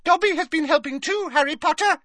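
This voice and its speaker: A man voicing a small, excitable, devoted male house elf with a squeaky, earnest voice in an audiobook. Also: fairly quick, no echo. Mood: proud, eager. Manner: speaks of himself in the third person, earnest.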